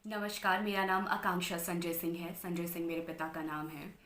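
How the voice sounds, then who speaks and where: A woman's voice: on the low side, level tone, normal speed, a bit bright, a little hiss or hum. Young woman telling her story in an echoey room. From Bihar, India. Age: 20s. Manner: earnest, mixes English phrases into Hindi. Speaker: interview guest, anchor and model. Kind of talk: personal life story in an interview.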